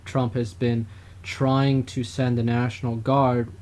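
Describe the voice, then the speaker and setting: A man's voice: mid-range voice, flat delivery, slow, darkish tone. A man co-hosting a political talk podcast, chatting casually and mocking opponents in a raised voice. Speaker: podcast co-host. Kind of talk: political talk show chat.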